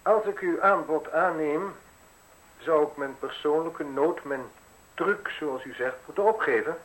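A man's voice: a little high, measured pace, a bit bright, low-quality recording. Guarded, world-weary newspaperman. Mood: reluctant. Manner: terse, wary, questioning. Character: guarded, world-weary male newspaperman under threat of prison. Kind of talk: radio drama acting.

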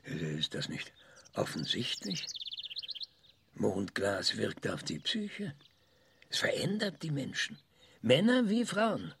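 A man's voice: medium pitch, sing-song, slow, creaky, long pauses, a bit bright, slight background noise, full character voice. An older man in a radio drama playing an aggressive, eccentric, obsessive scientist who speaks in a hesitant, fitful way. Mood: brooding.

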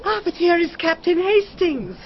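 A woman's voice: very high-pitched, animated, normal speed. A woman playing a gushing, commanding elderly lady of the manor with an upper-class English accent in a radio drama. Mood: gushing, bossy. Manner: effusive, commanding, fluttery. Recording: dull, muffled radio drama recording.

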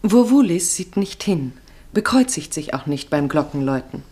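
Low-voiced woman narrating a radio play. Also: sing-song, moderate pace, dark tone, husky. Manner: terse, present-tense storytelling.

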